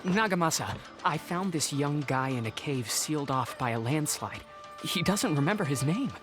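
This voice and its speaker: A man's voice: on the high side, lively intonation, normal speed, over music, lightly acted. An actor playing a kindly, helpful warrior man in a video game, with a friendly, concerned delivery. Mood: earnest.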